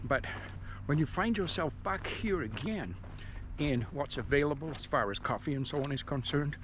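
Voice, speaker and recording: A middle-aged man, born in England softened by speech, chatting casually to camera as a hiking vlogger in a resort cafeteria. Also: a little high, lively intonation, moderate pace, noisy background. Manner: chatty, rambling, with chuckles and restarts. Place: mountain resort cafeteria, indoors.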